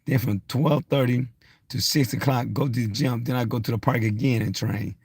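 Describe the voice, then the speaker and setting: A man's voice: mid-range voice, fairly even intonation, normal speed, darkish tone, husky, dry recording, studio quality. A man around sixty giving a blunt, insistent motivational rant, unscripted, hammering points through repetition. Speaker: social media motivational speaker.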